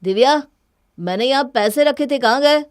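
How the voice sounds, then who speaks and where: A woman's voice: sits high, lively intonation, normal speed, clear, resonant tone, no echo, studio quality. A woman voicing an earnest, exasperated young husband in a cartoon story, reasoning and reproachful. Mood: annoyed. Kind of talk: Hindi cartoon story dialogue.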